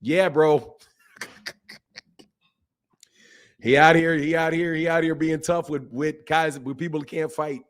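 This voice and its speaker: Hoarse man hosting a boxing livestream. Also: a little high, fairly even intonation, a little slow, pauses often. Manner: emphatic, repeats himself, calls listeners bro and man. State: hoarse, voice shot from yelling. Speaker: boxing channel host. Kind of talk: casual livestream commentary reading chat.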